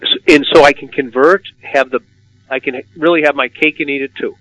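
A man's voice: medium pitch, fairly even intonation, fairly quick, a bit bright, dry recording. A man hosting a radio finance discussion, asking questions and often repeating words as he starts. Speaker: radio host. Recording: dull lo-fi radio sound.

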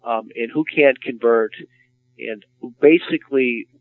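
A man's voice: medium pitch, a little slow, dry recording. A man hosting a radio finance discussion, asking questions and often repeating words as he starts. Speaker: radio host. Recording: dull lo-fi radio sound.

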